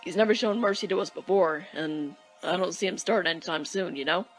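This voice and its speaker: An actor voicing a doubtful, jaded young skeleton sibling in an animated comic dub. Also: mid-range voice, fairly quick, close to an everyday voice, rough recording. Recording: played dub with music behind. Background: music.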